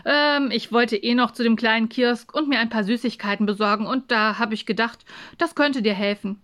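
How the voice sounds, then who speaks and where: A woman voices a good-hearted schoolboy in a children's story. He talks in a fairly high voice, casual and offhand, a bit shy about his own kindness. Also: normal speed, a bit bright, close to an everyday voice. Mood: bashful. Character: kind, bashful, impulsive young schoolboy.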